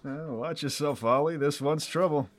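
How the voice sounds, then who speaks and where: A man voicing a gruff, plainspoken local, in an audio drama. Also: a little high, moderate pace, lightly acted. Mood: dry. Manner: plainspoken rural drawl, dry.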